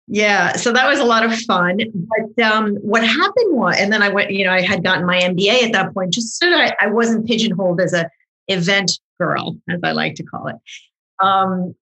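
A middle-aged woman whose first language is French, guesting on an interview and telling her story in a chatty, animated way with frequent restarts. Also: medium pitch, rough recording. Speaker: marketing consultant guest. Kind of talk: conversational interview.

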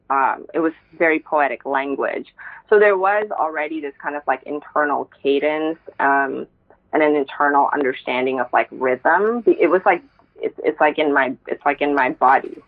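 A poet in a casual interview, a woman from Indonesia, talking reflectively with many fillers and frequent laughs. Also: low voice. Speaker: poet, guest. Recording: tinny phone-like line.

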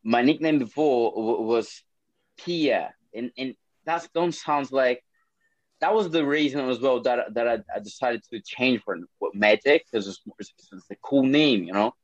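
A man's voice: medium pitch, unhurried, no echo, muffled recording. A young man from Brazil with a Brazilian Portuguese accent, speaking English as a second language in a casual interview, often repeating words and swearing casually. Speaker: MMA fighter.

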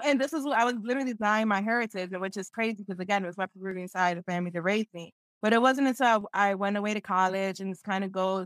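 A young woman tells personal stories in a casual interview, candid and animated, with slang and emphatic repetition. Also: mid-range voice, no echo. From Philadelphia, United States. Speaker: interview guest, entrepreneur.

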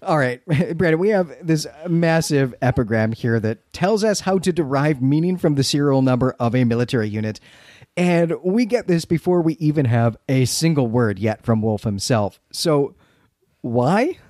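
A male podcast co-host with a mid-pitched voice and a close, dry sound. He talks through books in articulate, measured sentences, as in a literary discussion. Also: animated, a little mellow, no echo.

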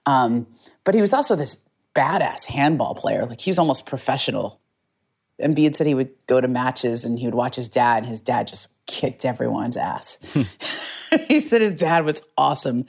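Low-voiced woman telling a story. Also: expressive, normal speed, a little mellow, breathy edge. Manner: earnest, reflective, often restarts phrases. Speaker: journalist guest. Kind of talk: conversational storytelling interview.

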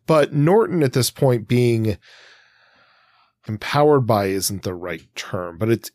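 Male podcast host, lower register, wordy and searching, analytical but casual, recorded dry and close. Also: darkish tone, no echo. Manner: wordy, hesitant, thinks aloud in long winding sentences. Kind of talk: casual analytical discussion of comics.

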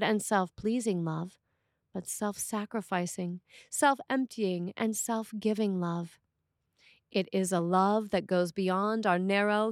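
A woman priest reading a scripted sermon with measured, deliberate phrasing. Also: mid-range voice, no echo, clean studio sound.

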